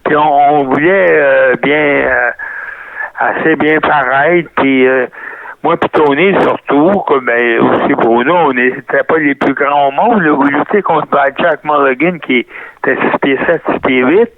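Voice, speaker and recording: An older man with a Québécois French accent, a guest reminiscing in an interview, rambling and halting with many restarts, heard over a phone line. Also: medium pitch, no echo. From Canada. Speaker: guest, retired wrestler. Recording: tinny phone-line sound, dry.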